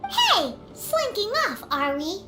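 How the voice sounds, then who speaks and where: A woman's voice: very high-pitched, huge pitch swings, takes it slowly, heavily acted. A woman voicing a tiny, cheeky fairy companion in a video game, blunt and childlike. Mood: indignant, nosy. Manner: childlike, blunt, refers to herself by name. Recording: roomy game audio stream. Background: game music.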